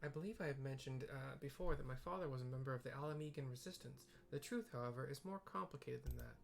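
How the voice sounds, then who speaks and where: A man voicing an earnest woman who leads a secret order in a fantasy game, speaking in measured, formal sentences. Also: medium pitch, creaky, slight background noise, natural voice. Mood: solemn, confiding.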